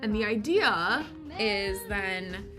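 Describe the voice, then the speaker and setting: Woman, Michigan accent, tired, rambling chatty talk, middle pitch, lofi music playing behind. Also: animated, slow. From United States. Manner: rambling, chatty, self-deprecating, answers chat questions. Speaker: livestream host. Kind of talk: casual chat with viewers during a co-working stream.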